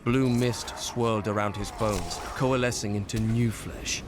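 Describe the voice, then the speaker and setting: Man as a low-voiced, grim chronicler. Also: fairly even intonation, a little slow, noisy background, lightly acted. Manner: grave, literary storytelling. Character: grim male chronicler recounting horrors in his journal. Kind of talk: scripted journal narration in a video game.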